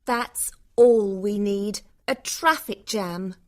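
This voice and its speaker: A woman's voice: mid-range voice, slow pace, lightly acted. A woman playing a cynical, irritable young woman in an acted audiobook, with a sarcastic, cutting manner. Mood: exasperated.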